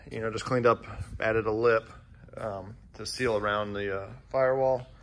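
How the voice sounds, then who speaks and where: A man who runs a fabrication shop, casually walking through the projects in his large indoor shop in a relaxed, plainspoken way, with many "uh"s and "um"s, recording on a handheld phone. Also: lowish voice.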